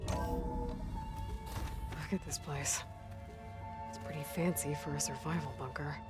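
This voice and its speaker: A woman's voice: low-pitched, steady tone, slow, loud music behind the voice. An actress playing a guarded, observant young woman adventurer in a video game, making dry, skeptical remarks. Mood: dry, watchful.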